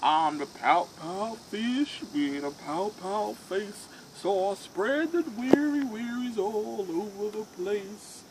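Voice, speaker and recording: A woman voicing a gloomy male fish in a picture book, chanting slowly and heavily. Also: mid-range voice, very noisy. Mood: glum. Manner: slow, sing-song chanting refrain.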